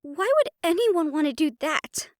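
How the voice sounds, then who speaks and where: Woman playing high-voiced, anxious young woman. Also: lively intonation, moderate pace, dry recording, clean studio sound. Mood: confused. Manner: hesitant, earnest, questioning. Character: anxious, bewildered young woman new to ghosts. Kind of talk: audio roleplay drama acting.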